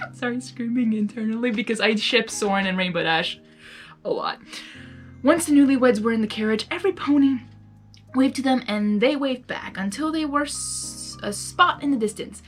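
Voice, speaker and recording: High, animated woman reading a story. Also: unhurried, long pauses. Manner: excitable, giggly. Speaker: amateur fan fiction reader. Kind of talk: story narration with casual reaction asides. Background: music.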